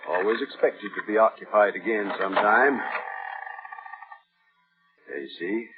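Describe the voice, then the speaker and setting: A man acting a creepy mad husband in a 1950s radio thriller, folksy, possessive and eerily calm. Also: fairly low pitch, measured pace, lightly acted. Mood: eerily hospitable. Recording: muffled old radio recording, loud music behind.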